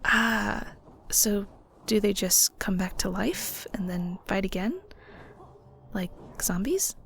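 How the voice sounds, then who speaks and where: A woman playing a shy, curious young ghost in an audio roleplay, hesitant, polite and chatty, with soft breathy edges. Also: mid-range voice, slow, slight background noise. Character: shy, curious young female ghost, newly arrived in the underworld. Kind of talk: audio roleplay, intimate conversational acting.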